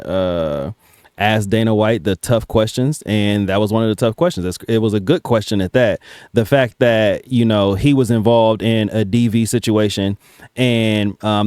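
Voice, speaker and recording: American male reaction commentator, slangy and casual, deep clean voice. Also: darkish tone, clear, resonant tone, no echo, studio quality. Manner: colloquial, slangy.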